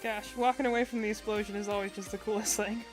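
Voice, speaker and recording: A woman's voice: medium pitch, fairly even intonation, fast talker, music in the background. A woman giving casual, gushing live-stream reactions to game trailers. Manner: enthusiastic, gushing.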